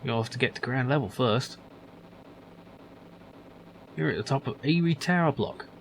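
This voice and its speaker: A man doing a gruff old worker. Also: mid-range voice, normal speed, slight background noise, close to an everyday voice, low-quality recording. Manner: grumbling, dismissive, calls others son. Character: gruff older male factory worker, grumpy and lazy.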